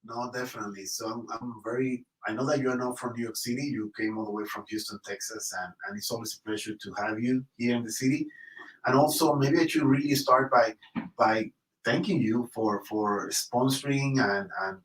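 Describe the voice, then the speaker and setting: A man's voice: mid-range voice, fairly even intonation, fairly quick, far from the mic. Effusive man hosting an interview, roomy sound. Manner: warm, effusive, many restarts. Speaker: interview host. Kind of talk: casual interview. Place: high-rise building in the city.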